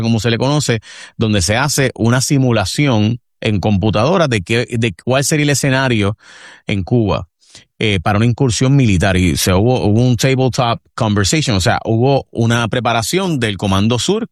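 A man's voice: mid-range voice, brisk, no room sound, clean studio sound. A man hosting a talk show, laying out topics with hesitant restarts and fillers.

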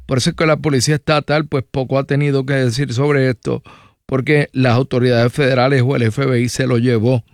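A man's voice: medium pitch, flat delivery, fairly quick, no room sound, studio quality. A man with a Puerto Rican accent hosting a radio talk show, conversational with rambling personal asides. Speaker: radio host. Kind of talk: radio news and interview talk.

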